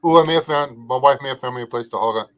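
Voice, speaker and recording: A middle-aged man co-hosting a casual podcast livestream, a laid-back joking storyteller with a soothing voice and frequent filler pauses. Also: mid-range voice. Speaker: podcast co-host.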